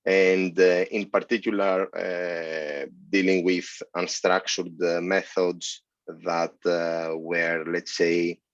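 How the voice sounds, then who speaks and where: A man from Greece speaks through a headset on a video call, his voice very low and unusually flat in tone. He answers steadily but halts often with fillers. The audio is dull and dry, with no room sound around it. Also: no room sound. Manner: measured, halting with many fillers. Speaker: guest, senior lecturer. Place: office, indoors.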